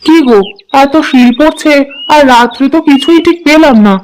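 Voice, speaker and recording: A woman's voice: high-pitched, moderate pace, a little hiss or hum, close to an everyday voice. A woman voicing a bossy, caring village housewife in a cartoon. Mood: homely. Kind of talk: cartoon voice acting.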